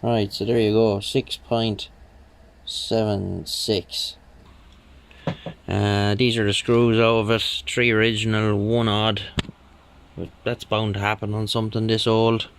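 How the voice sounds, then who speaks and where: Slow, low-voiced man. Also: level tone, creaky, clean tone. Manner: unhurried, muttering, hesitant while working. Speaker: hobbyist radio restorer. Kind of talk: casual hands-on repair commentary.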